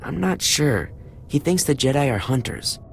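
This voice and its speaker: A woman's voice: a bass voice, animated, normal speed, vocal fry, slight background noise, full character voice. A woman playing a frightened captive interpreter in a video game, hesitant, earnest and pleading. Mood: worried. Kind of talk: dramatic video game acting.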